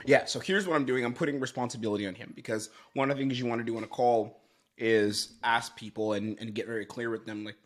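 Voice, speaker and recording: A man's voice: medium pitch, fairly even intonation, fast. A man giving explanatory commentary as a sales coach, stressing his points emphatically and repeating phrases.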